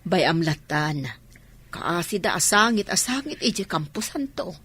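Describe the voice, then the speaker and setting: Naasi nga ikit, agbaliwbaliw timekna. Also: female voice, fairly low pitch, lively intonation, a little slow, fairly bright tone, slightly rough, no room sound, heavily acted. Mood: pleading, reproving. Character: kind, compassionate aunt taking in orphans. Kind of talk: radio drama acting.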